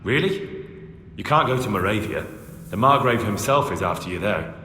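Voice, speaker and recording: An actor playing a young, firm and blunt medieval agent of a lord in a video game cutscene, speaking plainly and assertively. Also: male voice, on the low side, lively intonation, normal speed, sharp tone. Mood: firm, persuasive. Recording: echoey hall reverb, noisy background.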